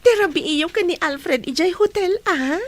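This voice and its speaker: A woman's voice: extremely high pitch, expressive, normal speed. A woman playing a teasing Ilocano best friend. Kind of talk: hobby radio drama.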